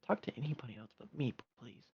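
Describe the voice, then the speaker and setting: A man reading as a brisk country pony mare who talks in a folksy drawl, her voice swinging lively up and down. She sounds impatient and keen to be left alone. The sound is dull and lo-fi. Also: medium pitch, vocal fry, husky, heavily acted.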